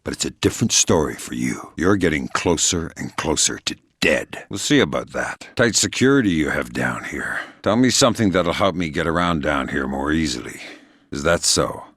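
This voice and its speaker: An actor playing a hardened, sardonic middle-aged covert operative in a video game, gruff and terse, with dry deadpan delivery. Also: male voice, extremely low pitch, moderate pace, no room sound, full character voice. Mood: menacing, controlled. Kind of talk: video game character dialogue.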